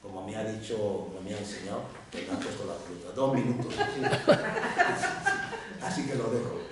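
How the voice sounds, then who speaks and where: A man from Africa, a writer with another first language, speaking on a round-table panel in a lively way, with many restarts and repeated words. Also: on the low side, a little slow, noisy background. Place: lecture room. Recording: echoey hall, distant mic.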